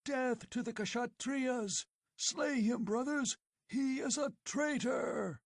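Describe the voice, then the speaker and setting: Shrieky furious old chief, way up high, loud and frothing. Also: male voice, lively intonation, measured pace, no room sound, studio quality. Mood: bloodthirsty. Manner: shrill, accusing, frenzied shouting.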